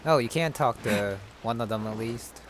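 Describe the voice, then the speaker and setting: A man giving casual commentary as he plays a game, musing aloud in a halting way. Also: mid-range voice, noisy background. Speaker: gamer commenting on a playthrough.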